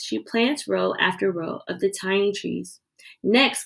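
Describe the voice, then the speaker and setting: A woman reading a children's picture book aloud with expressive storytelling delivery. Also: medium pitch, gravelly, rough recording. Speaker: read-aloud reader.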